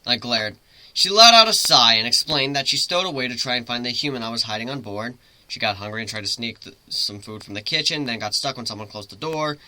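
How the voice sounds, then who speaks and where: A man's voice: medium pitch, brisk, bright, forceful tone, low-quality recording. A man reading a story aloud as its narrator, in a dramatic reading style.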